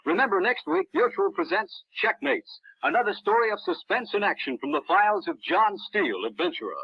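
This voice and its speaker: A man's voice: sits high, huge pitch swings, a little fast, creaky, slightly rough, no echo. A man with an American accent announcing in scripted old-time radio style. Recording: muffled old radio broadcast.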